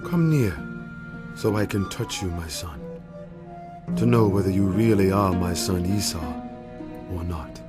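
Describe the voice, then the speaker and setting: An actor playing a frail, solemn old blind father with a deliberate delivery in a Bible audio drama. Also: low voice, expressive. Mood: wary, questioning. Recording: dramatic music behind the voice.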